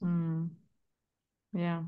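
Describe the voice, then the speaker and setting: A woman's voice: lowish voice, completely flat delivery, extremely slow, clean tone, lo-fi sound. German-born woman hosting a video call. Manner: friendly, agreeing, many fillers. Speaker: podcast host. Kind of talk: casual video call interview. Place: home room.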